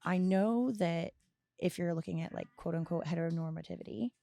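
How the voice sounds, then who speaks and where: Creaky-voiced young woman, candid and halting. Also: on the low side, dark tone, no echo. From United States, grew up partly in Florida and abroad. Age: early twenties. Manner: halting, reflective, self-correcting. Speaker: podcast guest. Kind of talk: candid conversational interview answers. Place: small indoor lounge, on a leather couch. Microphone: close boom-arm podcast microphone with headphones.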